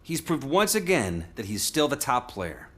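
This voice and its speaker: Suave, lively-voiced dignitary. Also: male voice, mid-range voice, normal speed. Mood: impressed, pointed.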